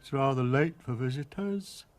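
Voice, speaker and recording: Guy doing a sleepy friendly cow, gentle, a bit higher voice. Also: expressive, a little slow, soft background music. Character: kind sleepy female cow.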